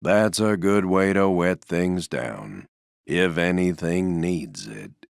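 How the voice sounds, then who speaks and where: A man voicing a gloomy, resigned stuffed donkey in a video game, with a deep, gravelly, mournful drone and a gentle, self-effacing manner. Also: lively intonation, slow, no echo, clean studio sound, heavily acted. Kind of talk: video game character voice lines.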